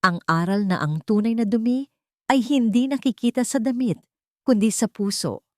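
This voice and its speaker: A woman voicing a young male CEO who speaks calmly and coldly. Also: fairly high pitch, dry recording, studio quality, voice pushed up, natural voice. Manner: calm, cold, measured. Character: cold, commanding male CEO, young adult.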